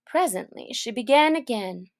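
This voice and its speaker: A woman reading a children's story aloud in a gentle, soft manner that often drops to near a whisper. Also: on the high side, lively intonation, normal speed, no room sound.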